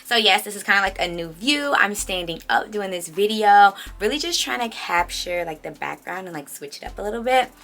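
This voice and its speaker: A woman's voice: medium pitch, lively intonation, a little fast, few pauses, fairly bright tone. A woman in her late twenties chatting casually to camera like a vlogger, slightly congested, talking emphatically with slangy asides. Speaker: vlogger hosting own channel. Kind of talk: casual confessional chat to camera.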